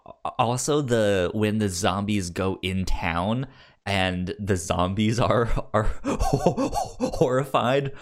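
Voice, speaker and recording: A man's voice: fairly low pitch, big pitch swings, slow. A man co-hosting a podcast in casual film discussion, stammering and often repeating sounds and words. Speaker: podcast co-host.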